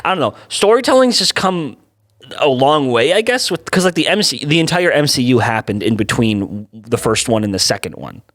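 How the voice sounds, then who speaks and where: Animated young man in podcast chat. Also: mid-range voice, moderate pace. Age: 20s. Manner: animated, joking, laughs often. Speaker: podcast co-host. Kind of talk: casual movie review chat.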